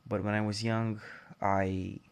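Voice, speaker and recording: A man's voice: on the low side, little pitch movement, slow, creaky, smooth. A young man speaking non-native English with British leanings, rambling through a casual solo monologue full of asides and self-corrections. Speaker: podcast host.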